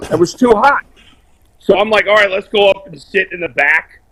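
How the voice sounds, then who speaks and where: A man calling in to a sports talk show, loud, blunt and opinionated, bantering casually over a video call. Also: sits high, moderate pace, gravelly, husky, rough recording. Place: remote video call while on vacation.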